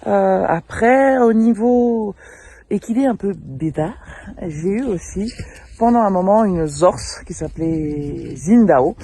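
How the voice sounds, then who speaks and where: A woman in her thirties from the French Alps, who raises mules as an amateur, introducing herself casually outdoors in a field beside her animals and pausing often to hesitate. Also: medium pitch, lively intonation, dark tone. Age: 30s. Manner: hesitant, frequent filler pauses. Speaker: amateur mule breeder. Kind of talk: casual self-introduction to camera.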